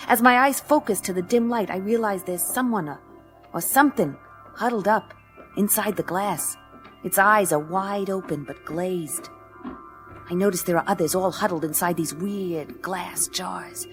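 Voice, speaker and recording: A woman's voice: mid-range voice, fairly bright tone. A woman playing a tough, hard-boiled space detective, narrating a radio play in clipped, sardonic noir style. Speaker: lead narrator.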